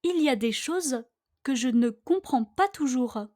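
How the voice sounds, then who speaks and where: Very slow, high-pitched woman reading practice dialogue. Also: lively intonation, darkish tone. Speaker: language teacher. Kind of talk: scripted dialogue read slowly for listen-and-repeat practice.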